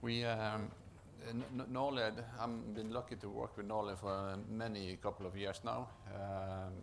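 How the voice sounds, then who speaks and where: A man with a low, gravelly voice and a Norwegian accent gives a conference presentation. He speaks haltingly, often stopping to restart and repeat words. His voice is deep and creaky throughout. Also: flat delivery, a little hiss or hum. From Norway. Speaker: project manager presenting at a conference.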